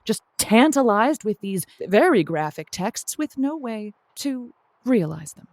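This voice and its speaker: A woman's voice: on the high side, very animated, unhurried, a little mellow, dry recording, heavily acted. A woman playing a radio advice host in an audio comedy, wry and easily flustered, hesitating between dry quips. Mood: awkward, cautious. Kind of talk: audio comedy drama dialogue.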